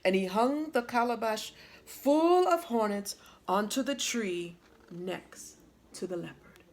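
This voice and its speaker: A woman reading a children's story aloud like a teacher, with dramatic, deliberate phrasing. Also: on the high side, animated, background noise.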